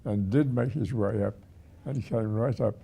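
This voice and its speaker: Very old man, husky and dark-voiced. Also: fairly low pitch. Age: 100. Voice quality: husky, aged voice. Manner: halting, with repeated words and pauses. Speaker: retired museum director, award recipient. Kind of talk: reminiscing.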